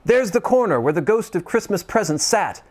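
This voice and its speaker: A man reading aloud, voicing a reformed old miser who is giddy and overjoyed, his voice pitched high and excited. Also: moderate pace.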